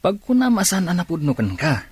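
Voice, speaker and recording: A man's voice: high-pitched, wildly swooping pitch, a little fast, slightly rough, no room sound, full character voice. A man with an Ilocano accent playing a teasing, consoling best buddy in a radio drama. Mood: teasing, concerned.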